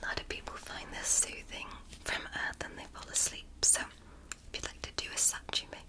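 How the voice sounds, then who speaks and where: A woman whispering in ASMR roleplay as a curious, gentle alien doctor examining a human, speaking slowly and clinically with a breathy whisper up close to the mic. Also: natural voice. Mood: soothing curious. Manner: slow, soft, clinical and fascinated. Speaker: ASMR creator. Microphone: close sensitive microphone.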